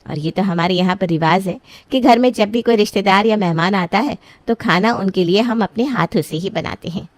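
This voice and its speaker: Middle-aged bride's mother, courteous and artful, story drama, acted by a woman, mid pitch. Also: a little fast, no echo, natural voice.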